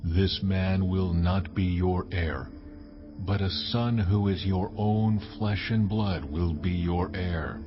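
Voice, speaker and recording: A deep divine male voice, solemn and commanding. He speaks slowly and with stately weight in a scripture drama, over clear music on a slightly dull recording. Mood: solemn promise. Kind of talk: dramatized audio Bible acting. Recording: dull, band-limited stream with music.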